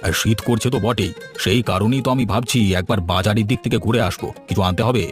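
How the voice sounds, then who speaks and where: A man voicing a brisk, obliging village man in a cartoon, speaking in an agreeable, conversational way. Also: lowish voice, soft background music, close to an everyday voice. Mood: impatient. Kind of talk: cartoon voice acting.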